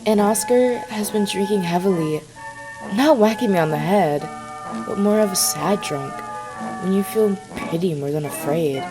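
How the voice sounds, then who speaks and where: A woman's voice: medium pitch, moderate pace, lightly acted, low-quality recording. A woman playing a kindly, chatty, slightly scatterbrained Victorian wife in an audio drama, speaking hesitantly. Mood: worried. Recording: music under the voice.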